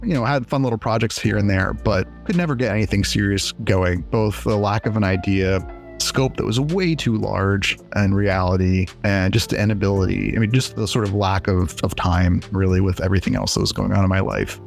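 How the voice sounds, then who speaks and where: A man's voice: fairly low pitch, a little fast, gravelly, faint music behind. A man in his late forties, a developer telling his story in a casual, rambling, self-deprecating interview. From USA. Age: 40s. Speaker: indie game developer guest.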